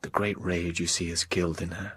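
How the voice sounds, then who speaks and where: An actor playing a young English doctor in a literary audio drama, gentlemanly, assured and formal. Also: male voice, low-pitched, level tone, brisk, slight background noise. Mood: calm, authoritative.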